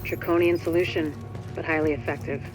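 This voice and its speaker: An actress plays a female commander in a video game, composed and pragmatic. Her delivery is fast and clipped, with matter-of-fact authority. Music runs clearly underneath. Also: low voice, steady tone, lightly acted, rough recording. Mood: measured, grim.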